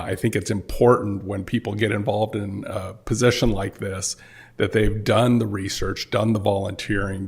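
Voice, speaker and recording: A man speaking as a city council candidate at a public forum, measured and pausing often on fillers. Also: fairly low pitch, creaky, darkish tone, husky.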